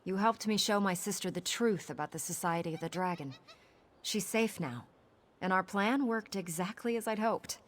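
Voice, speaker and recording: A woman playing a protective, composed older sister with an earnest, measured manner in game dialogue. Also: medium pitch, a little hiss or hum, lightly acted. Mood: relieved, grateful.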